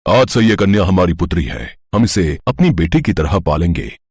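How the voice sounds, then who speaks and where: A stern king from an animated story, voiced by a man. He speaks with command and bite, very deep, with a wide lively pitch. Dry recording. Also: normal speed, husky, no echo. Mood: proud. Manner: commanding, indignant. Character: stern middle-aged male king.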